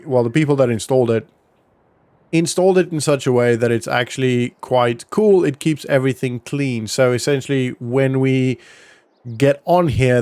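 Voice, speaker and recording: Clear-voiced man narrating gameplay casually. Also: medium pitch, a little mellow, dry recording. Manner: informal, thinking aloud as he goes. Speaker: gaming vlog host. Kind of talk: casual roleplay gameplay commentary.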